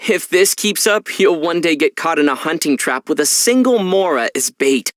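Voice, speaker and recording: A man voicing a calm young traveler in a video game, measured and gently teasing. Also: on the high side, no echo, studio quality. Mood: dry teasing. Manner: measured, wry.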